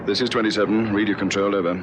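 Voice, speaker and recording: An actor playing a gruff, commanding detective sergeant in a vintage radio drama. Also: male voice, fairly low pitch, steady tone, racing, natural voice. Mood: brisk, urgent. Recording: old radio recording, vehicle noise.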